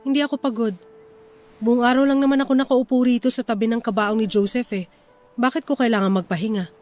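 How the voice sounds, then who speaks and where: A woman's voice: on the high side, steady tone, quick pace, a little mellow, natural voice. A woman with a Filipino accent playing a stubborn, protective young wife and mother in a radio-style drama. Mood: grieving, defiant. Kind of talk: radio-style romance drama acting in dialogue. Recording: music under the voice.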